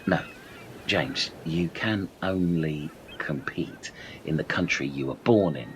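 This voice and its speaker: A man playing a pedantic, deliberate islander who corrects his friend in a comedy radio play. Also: a bass voice, slight background noise. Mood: patiently correcting.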